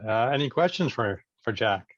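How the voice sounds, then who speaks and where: Man, medium pitch, halting meeting host, dry sound, dull lo-fi call audio. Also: animated, fairly bright tone, no echo. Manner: halting, hesitant. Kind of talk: informal meeting moderation. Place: remote video call.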